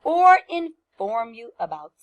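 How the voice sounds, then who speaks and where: A woman with a fairly high voice speaks as a first-grade teacher. She goes very slowly through a lesson for young children, explaining in simple terms. She repeats key points and puts questions to her listeners. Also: very animated, muffled recording.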